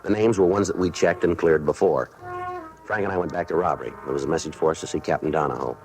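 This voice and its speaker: An American actor playing a terse, businesslike police detective who narrates and questions in clipped sentences in a 1950s radio crime drama. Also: male voice, very deep voice, fast talker, soft background music. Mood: deadpan. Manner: clipped, deadpan, matter-of-fact. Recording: old radio recording, faint noise.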